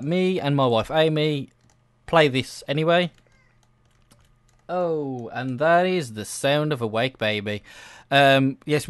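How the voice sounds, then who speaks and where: A man's voice: medium pitch, clear, resonant tone, no room sound. A man chatting casually over gameplay in a hesitant, self-deprecating, rambling way, like a video creator thinking aloud.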